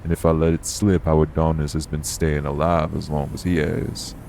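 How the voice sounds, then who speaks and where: A man acts out a shady, long-lived supernatural man in an urban fantasy audio drama. His voice is extremely low and full of gravel, kept to a narrow, flat line. He sounds guarded and world-weary, with faint vehicle noise behind him. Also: normal speed. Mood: guarded, grim. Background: vehicle on the road.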